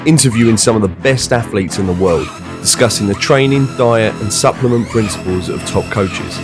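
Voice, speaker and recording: A man reading a scripted podcast intro and sponsor messages in a measured voice. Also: fairly low pitch. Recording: music bed behind the voice.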